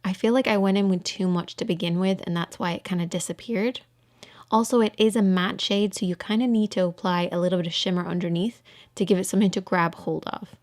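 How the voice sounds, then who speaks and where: A woman's voice: mid-range voice, fairly even intonation, a little fast, darkish tone. A woman giving an informal voice-over for a makeup tutorial, explaining things step by step.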